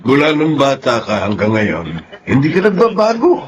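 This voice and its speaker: A man's voice: mid-range voice, huge pitch swings, moderate pace, crowd noise. An actor with a Filipino accent playing a genial, teasing middle-aged father in a radio drama. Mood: fond, teasing. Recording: clear background ambient noise.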